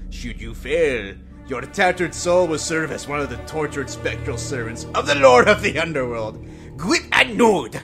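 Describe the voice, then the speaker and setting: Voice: mid-range voice, creaky, a bit bright, exaggerated voice. An actor playing a pompous, menacing omniscient narrator in an audio comedy drama, portentous and melodramatic, and easily flustered. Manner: portentous, melodramatic, dragging out grim pronouncements. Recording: music behind the voice.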